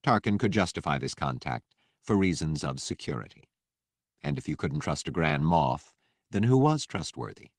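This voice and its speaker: A man's voice: a bass voice, very animated, normal speed, dry recording, clean studio sound. A man reading a novel as scripted audiobook narration.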